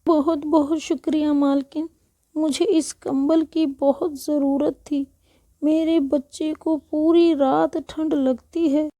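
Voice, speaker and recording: A woman acts out a humble, kindly village woman in a cartoon moral tale. The voice is high and very soft-toned, earnest and slow, in a dry recording. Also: little pitch movement, no room sound. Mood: pleading. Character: humble, kindly village women, maid and mistress. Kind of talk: children's moral story cartoon dialogue.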